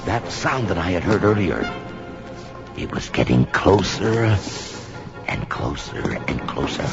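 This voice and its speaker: An actor playing a haunted, melodramatic man who tells a ghoulish horror tale with theatrical pauses and repetitions. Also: deep voice, big pitch swings, vocal fry, heavily acted. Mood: suspenseful. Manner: theatrical, halting repetitions for suspense. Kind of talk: dramatic horror storytelling. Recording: dull recording under loud music.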